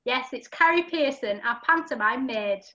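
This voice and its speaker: Woman panto narrator, high bright voice, reading rhymes, kinda dull lo-fi call sound. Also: lively intonation, normal speed, heavy background noise. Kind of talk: scripted rhyming narration. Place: video call. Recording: dull lo-fi video call audio.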